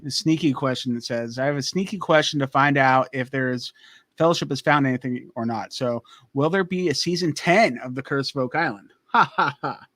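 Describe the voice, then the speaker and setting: A man's voice: mid-range voice, moderate pace, a bit bright, no echo, studio quality. A man co-hosting a live podcast, easygoing and laughing often. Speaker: podcast co-host. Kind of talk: casual live chat.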